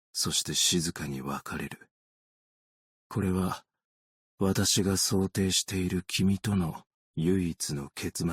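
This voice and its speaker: Casting: a long-lived, world-weary male optician in a game; deep husky voice, understated, gently melancholic delivery. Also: no room sound, clean studio sound, exaggerated voice. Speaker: video game character voice actor. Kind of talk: scripted game character voice lines.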